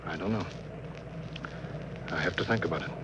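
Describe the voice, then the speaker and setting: An actor playing a suspicious, brooding starship captain in 1950s radio drama style, terse and commanding. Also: male voice, low-pitched, normal speed, natural voice. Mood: brooding, wary. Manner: terse, commanding, insistent questioning. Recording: noisy vintage radio drama recording.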